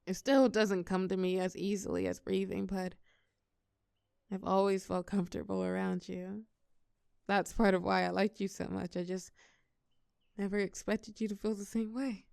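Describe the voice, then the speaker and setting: A woman's voice: medium pitch, little pitch movement, moderate pace, vocal fry, a little mellow, clean tone, no room sound, lightly acted. A young woman playing a shy, tender college girl confiding in a close friend, hesitant yet playful, in an intimate audio roleplay. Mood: tender, reflective. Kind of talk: intimate scripted audio roleplay, one side of a conversation.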